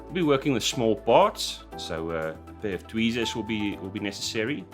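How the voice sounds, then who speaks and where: A man hosting a scale model tutorial in voice-over, talking hesitantly with many "uh" fillers and restarts, his voice slightly croaky after an illness. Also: fairly low pitch, very animated, normal speed. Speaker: scale model building host. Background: background music.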